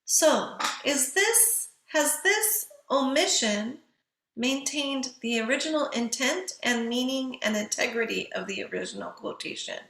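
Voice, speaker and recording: A woman's voice: a little high, bright, forceful tone, roomy sound, poor audio. A woman giving an instructional writing lecture in a didactic way, posing questions to listeners and answering them herself.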